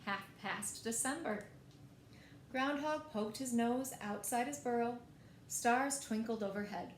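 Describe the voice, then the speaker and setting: A woman reading picture books aloud as a storyteller, in an expressive, deliberate way meant for young children. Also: a little high. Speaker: library storyteller. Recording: echoey room, faint background noise.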